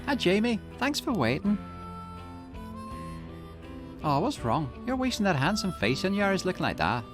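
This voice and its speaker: A man's voice: sits high, wildly swooping pitch, moderate pace, voice pushed up. A man voicing a caring, chatty grown-up friend in a visual novel, lilting and playfully chiding. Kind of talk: visual novel character reading. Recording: music behind the voice.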